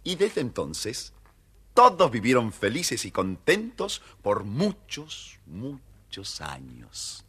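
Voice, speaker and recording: A man with a husky voice narrating a children's tale theatrically, with dramatic pauses. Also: mid-range voice, wildly swooping pitch, sharp tone. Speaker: storyteller.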